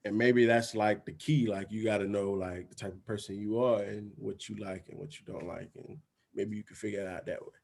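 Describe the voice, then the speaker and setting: A man's voice: fairly low pitch, fairly even intonation, fairly quick, vocal fry, a little mellow. Laid-back slangy man hosting a podcast. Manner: laid-back slangy talk, frequent "you feel me", swearing. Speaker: podcast host. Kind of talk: casual podcast chat.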